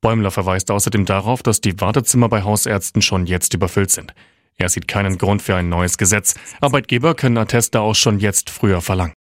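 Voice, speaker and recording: Man, news reporter, standard German accent, lowish pitch, scripted report, dry studio sound. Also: a little fast, dry recording.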